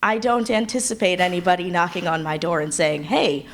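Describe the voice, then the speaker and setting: A woman's voice: fairly low pitch, brisk. A woman speaking earnestly and thoughtfully as a writer on a live panel. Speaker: panelist, audio drama writer. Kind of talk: earnest conversational panel talk. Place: convention panel room with background noise. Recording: noisy live convention panel room.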